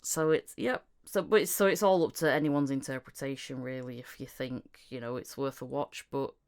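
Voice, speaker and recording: Low-voiced giggly woman host. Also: fairly even intonation, moderate pace, darkish tone, clean tone. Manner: giggly, laughs often. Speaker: podcast host. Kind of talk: casual interview chat.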